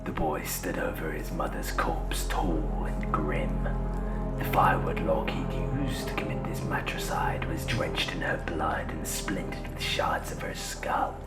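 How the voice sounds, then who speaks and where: A man narrating a fantasy drama in a grave, deliberate manner with a breathy, gravelly voice. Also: rumbling low, in a whisper, over loud music.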